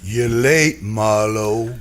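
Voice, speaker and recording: An actor playing a smug, menacing crime boss in an old radio drama. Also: male voice, on the low side, extremely slow, faint music behind. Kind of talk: 1940s-50s radio crime drama acting.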